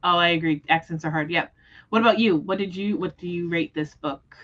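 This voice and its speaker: Low-pitched woman in casual book chat. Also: fairly quick, a bit bright, lo-fi sound. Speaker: host of a book readalong livestream. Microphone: livestream microphone.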